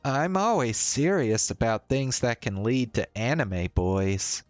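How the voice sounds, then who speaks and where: A man's voice: medium pitch, expressive, slow pace, dry recording, soft background music. A man voicing a tired, teasing and troubled young woman in a visual novel, with a casual, hesitant delivery. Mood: playful, dry. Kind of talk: visual novel dialogue read-aloud.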